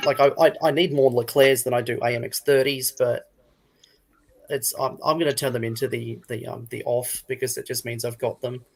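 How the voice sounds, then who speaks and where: A man's voice: medium pitch, gravelly, slight background noise. A man, a store retailer, chatting casually as a guest on a hobby show.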